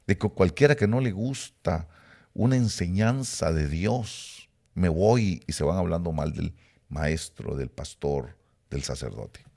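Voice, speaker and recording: A middle-aged man from Rica, teaching a sermon with emphatic rises and quiet low asides. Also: creaky. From Costa Rica. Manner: emphatic preaching, rising then dropping to low asides. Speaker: preacher.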